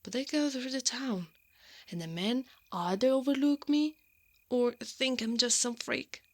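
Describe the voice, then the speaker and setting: A woman playing a brave, protective female knight in an intimate ASMR roleplay, softly and often in a breathy whisper. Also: on the high side. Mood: frustrated, insecure. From Italy. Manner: slow, intimate, hushed delivery.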